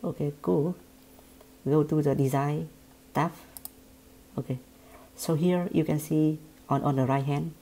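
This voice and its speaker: A woman speaking English with a Vietnamese accent guides a screen-recorded software lesson. Her voice sits low and sounds soft and mellow. She talks in a halting way, often repeating words and restarting her sentences. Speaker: software tutorial presenter.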